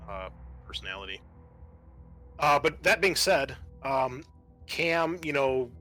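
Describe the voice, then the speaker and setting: A man narrating a tabletop role-playing game as game master, haltingly, often circling back to rephrase. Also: fairly low pitch, pushed and bright. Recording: music behind the voice. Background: music.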